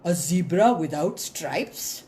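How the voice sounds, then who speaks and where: A woman doing a booming, royal lion king. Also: animated, warm tone. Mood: commanding. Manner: slow, booming, regal. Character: big royal male lion, gruff ruler.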